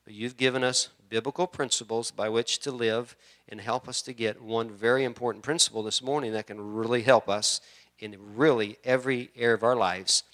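A man's voice: medium pitch, steady tone, brisk, a bit bright. A man in his fifties preaching a sermon in church, repeating key words for emphasis and building to a fervent delivery. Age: 50s. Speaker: pastor. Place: church sanctuary.

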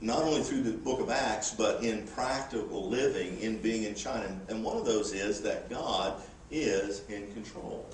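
A man's voice: lowish voice, normal speed, reverberant, far from the mic, a little hiss or hum, poor audio. A missionary in his forties, giving a folksy, humorous church report with frequent "uh" fillers. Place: church sanctuary.

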